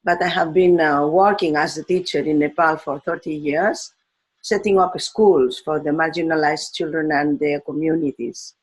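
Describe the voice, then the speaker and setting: A woman's voice: sits low, fairly even intonation, poor audio. An older woman from Spain with a Spanish accent, a teacher explaining her ideas on education with fillers and restarts. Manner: slow and deliberate, with fillers and restarts.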